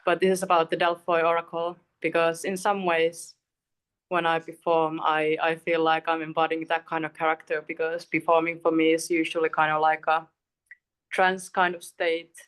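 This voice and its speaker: A young woman from Eastern Finland with a Finnish accent introduces herself in a calm, reflective way. Her voice sits low and sounds smooth and resonant. She speaks from a video call. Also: normal speed, poor audio. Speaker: performance poet. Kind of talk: spoken introduction and poetry reading.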